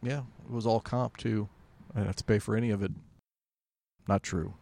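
A man's voice: lowish voice, fairly even intonation, moderate pace, gravelly, darkish tone. A man chatting casually as a podcast co-host, understated and laid-back with dry humor. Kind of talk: casual chat.

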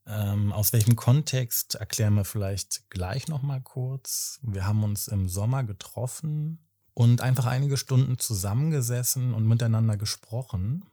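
A man in his thirties from Berlin, co-hosting a podcast and explaining things in a measured, slightly formal way. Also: medium pitch, dark tone. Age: 30s. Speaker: podcast co-host. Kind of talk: casual podcast talk.

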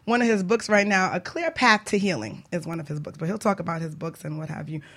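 A woman's voice: lowish voice, fast talker, a bit bright. A woman hosting a radio talk show in an informal, chatty, conversational way. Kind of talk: casual chat.

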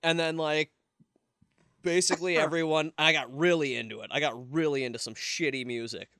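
A young man co-hosting a casual comedy podcast, animated and joking, swearing freely, with a high, slightly nasal little-brother voice. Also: a little fast, clean tone, dry recording, studio quality. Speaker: podcast co-host.

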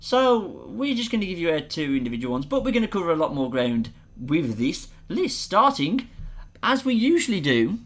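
A man's voice: fairly high pitch, very animated, normal speed, fairly bright tone. A man co-hosting a casual film podcast, joking playfully and often trailing off mid-thought. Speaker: podcast co-host.

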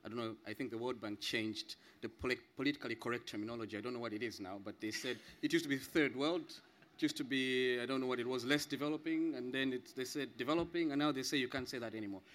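A man's voice: medium pitch, fast, a bit bright, a little hiss or hum. A man from Zambia, explaining as a panel guest, repeating points for emphasis and often checking with "right?". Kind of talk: spontaneous explanatory discussion.

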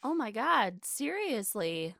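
Bright, animated young woman co-host. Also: a little high, takes it slowly, gravelly. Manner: brief agreeing interjections. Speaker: podcast co-host. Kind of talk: casual chat.

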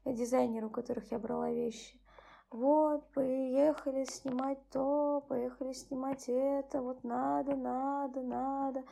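A tired young woman hosting her own channel, speaking softly and subdued as she reads a bedtime story and chats about her day. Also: little pitch movement, unhurried, vocal fry. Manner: soft, subdued, low-key. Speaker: channel host. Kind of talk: bedtime story reading and personal chat.

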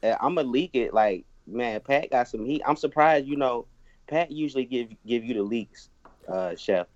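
A man's voice: mid-range voice, moderate pace, no room sound. Tipsy man rambling on a podcast. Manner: loose, rambling, stumbling over words. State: tipsy from drinking. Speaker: guest musician. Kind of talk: casual podcast chat. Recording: dry, dull lo-fi sound.

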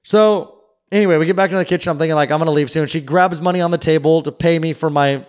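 A man's voice: fairly high pitch, steady tone, quick pace. A man casually telling a long, rambling story on a podcast and swearing freely. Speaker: podcast co-host. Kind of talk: casual chat.

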